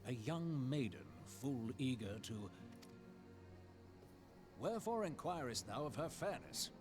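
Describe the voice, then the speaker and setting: An actor playing a grave fantasy game sage who speaks slowly in drawn-out, archaic English. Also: male voice, medium pitch, very animated. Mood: grave, reluctant. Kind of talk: voiced video game dialogue. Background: game music.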